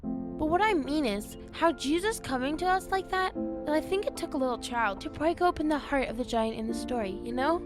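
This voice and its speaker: An actress playing an earnest, gentle girl who talks kindly to her friend. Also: sits high, animated, moderate pace, music in the background.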